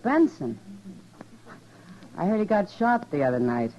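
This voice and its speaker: A woman's voice: lowish voice, big pitch swings, unhurried, warm tone, slight background noise. An actress playing a wry, world-weary, sympathetic saloon woman in a 1950s western radio drama. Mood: wry, probing. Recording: muffled vintage radio recording.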